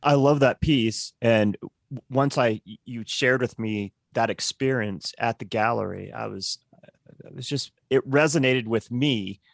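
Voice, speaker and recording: A man co-hosts a podcast, responding to his guest with easy, empathetic chat and a few stumbling restarts. His pitch is ordinary for a man. The sound is dry and close, with no room echo. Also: measured pace, gravelly. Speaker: podcast co-host. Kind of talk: conversational interview.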